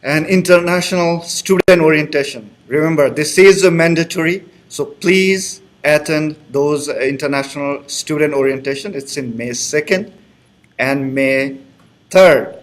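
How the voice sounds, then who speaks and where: A man's voice: a little high, a little slow. Male student advisor. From Bangladesh. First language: Bengali. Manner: friendly, repeats reassurances, loose grammar. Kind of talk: live online information session.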